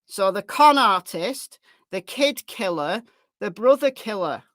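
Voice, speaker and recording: A woman's voice: medium pitch, animated, takes it slowly, fairly bright tone, no room sound. Emphatic woman hosting a livestream, dry sound. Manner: emphatic, repeats phrases for stress. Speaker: livestream host. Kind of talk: true crime livestream commentary with chat replies.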